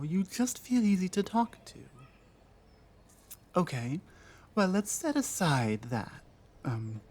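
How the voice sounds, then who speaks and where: A woman voicing a lost, guarded female orc warrior in an ASMR roleplay, speaking earnestly and haltingly with pauses. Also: very deep voice, darkish tone, heavily acted. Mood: cautious wonder. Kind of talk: ASMR roleplay audio drama.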